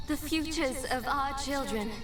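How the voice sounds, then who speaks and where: An actress voicing a noble, resolute creator goddess in a video game, solemn and earnest, in an echoing space. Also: female voice, sits high, a little slow, music in the background. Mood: solemn resolve. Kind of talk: dramatic video game voice acting. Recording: echoing, distant-sounding voice. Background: loud orchestral game score.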